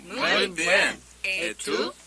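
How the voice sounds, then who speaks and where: A man's voice: sits high, animated, very slow, bright, forceful tone, slightly rough, heavily acted. A man playing a dutiful language student repeating phrases by rote with a class in a radio parody. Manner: rote, careful repetition. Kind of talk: parody of a language lesson drill.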